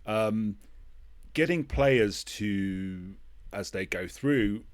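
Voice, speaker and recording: Lowish-voiced man hosting a casual chat. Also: drawn-out words. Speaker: podcast host.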